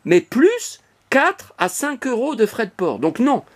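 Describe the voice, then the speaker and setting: A man's voice: very high-pitched, wildly swooping pitch, measured pace. A man in his apartment talking casually to camera about his collection, digressive, with many hesitations and restarts. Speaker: collector vlogger. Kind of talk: rambling casual monologue to camera. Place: cluttered apartment, indoors.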